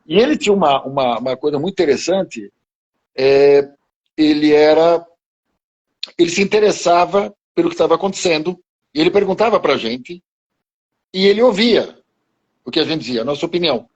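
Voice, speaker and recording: Husky high-voiced man in his seventies. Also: animated, darkish tone, highs cut off. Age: 70s. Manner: slow, halting, with many restarts. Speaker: guest martial arts teacher. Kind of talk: reminiscing conversation.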